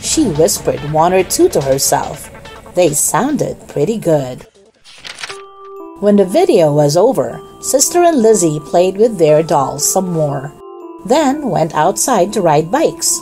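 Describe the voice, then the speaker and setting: A woman hosts a read-aloud for young children. She narrates slowly and expressively, with her pitch moving freely. Faint music plays underneath. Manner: slow, expressive, deliberate delivery for young listeners. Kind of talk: scripted storybook narration for children.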